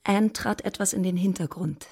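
Female narrator for period radio drama: breathy, husky tone, mid pitch, composed literary delivery. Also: normal speed, darkish tone.

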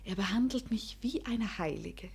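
An actress playing a spirited, wilful young gentleman's daughter in a radio comedy, coy and playful. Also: fairly high pitch, normal speed, breathy edge. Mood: excited, confiding. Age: young adult.

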